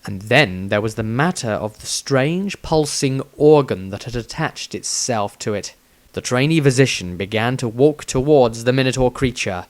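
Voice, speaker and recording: A man's voice: mid-range voice, expressive, moderate pace. Man narrating a fantasy story dramatically. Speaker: author reading his own story.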